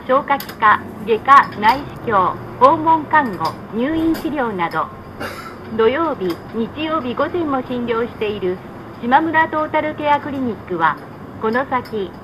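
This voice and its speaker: A woman reading scripted stop announcements on a bus in a measured, formal, polite manner. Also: fairly high pitch, animated. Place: played over speakers inside a moving bus. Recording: muffled, noisy recording inside a bus. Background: bus engine and road noise.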